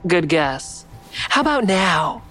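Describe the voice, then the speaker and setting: A woman's voice: lowish voice, sing-song, slow, a bit bright, soft background music, heavily acted. An actress playing a guarded, sardonic woman in an audio drama, dry and wry in dialogue and first-person narration. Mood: wary. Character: guarded, sardonic woman, crisis counselor with secret kills.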